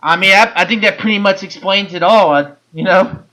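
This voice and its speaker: This is a man hosting a laid-back sports podcast. His voice sits high and sounds bright and forward. He talks loosely, doubling back and repeating words. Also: fairly quick, low-quality recording. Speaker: podcast host. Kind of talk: casual sports talk.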